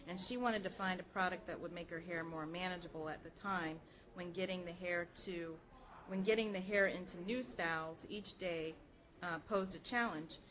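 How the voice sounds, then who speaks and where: A woman's voice: fairly low pitch, fairly bright tone. An American woman reading aloud from a nonfiction history book at a live public program. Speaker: public reader at a library program. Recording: clearly noisy live event recording.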